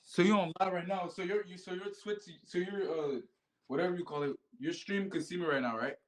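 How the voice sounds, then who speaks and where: A man's voice: high voice, fairly even intonation, fairly quick, rough recording. A man from the United States in casual, slangy video-chat talk, often repeating his phrases. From Charleston, United States. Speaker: stranger on a random video chat.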